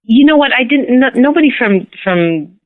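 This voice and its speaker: A middle-aged woman from Canada, whose first language is Hungarian, chatting as a guest actress in an interview. She talks in a lively way, laughs often, and repeats words as she starts her sentences. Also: fairly high pitch, moderate pace. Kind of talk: casual interview chat. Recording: tinny phone line.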